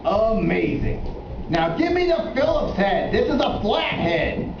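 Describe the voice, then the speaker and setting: A man's voice: sits high, sing-song, unhurried, vocal fry, exaggerated voice. A man voicing a blunt, impatient, wisecracking working-class repairman in a children's puppet show. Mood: skeptical, offhand. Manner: blunt, informal, slangy, impatient. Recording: echoey, muffled, noisy room, far from mic.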